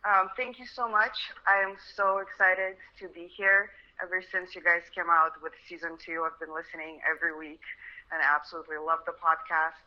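A woman's voice: fairly low pitch, fairly even intonation, fairly quick, highs cut off. A woman in her thirties from Russia, a guest talking chattily and upbeat about her path into medicine. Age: 30s. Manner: chatty and upbeat, frequent "um" and laughs. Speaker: guest, medical resident and bikini competitor. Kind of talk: casual interview conversation.

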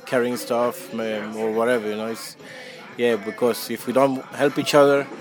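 A man's voice: mid-range voice, level tone, moderate pace, over music. A man from Chile who runs a hostel, answering interview questions casually with many fillers and restarts. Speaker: hostel owner.

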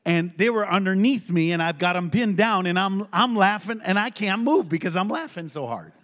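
A middle-aged man preaching to a congregation in a church, telling a personal story with lively call-and-response. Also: high-pitched, moderate pace. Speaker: pastor.

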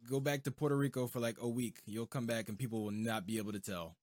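A man talks as a podcast host in a loose, informal way. His voice sits at an average pitch and is recorded very dry, with little room sound. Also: steady tone, fast talker, darkish tone, dry recording. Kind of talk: casual podcast chat.

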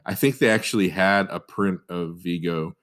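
Man, deep voice, easygoing podcast co-host who jokes and laughs a lot. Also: moderate pace, fairly bright tone. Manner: easygoing, joking, laughs often. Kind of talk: casual chat.

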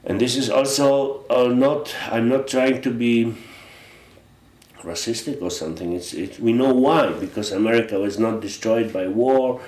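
A middle-aged man from Poland with a Polish accent, chatting as an interview guest in a halting, reflective way with frequent restarts. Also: mid-range voice, darkish tone, echoey room. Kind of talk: casual interview chat.

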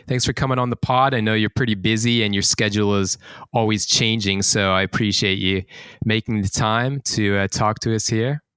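Low-voiced podcast host with a smooth tone. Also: male voice, normal speed, no echo. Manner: relaxed, chatty, uses fillers like "cool, cool". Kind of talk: podcast interview.

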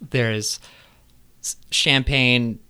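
A man's voice: medium pitch, fairly even intonation, very slow, bright, forceful tone, smooth. A man in his thirties hosting a casual interview, chatty and enthusiastic, swearing now and then. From Illinois, Midwest US. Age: 30s. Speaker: podcast host.

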